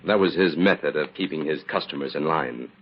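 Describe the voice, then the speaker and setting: An actor playing a self-assured amateur detective in a 1940s radio drama, explaining in a measured way. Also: male voice, extremely low pitch, slight background noise. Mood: calm, assured. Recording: dull, muffled vintage radio recording.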